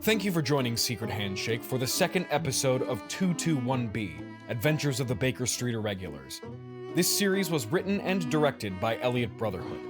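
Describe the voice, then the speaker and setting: A man's voice: medium pitch, sing-song, brisk. Man reading credits over prominent guitar music. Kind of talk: scripted credits narration.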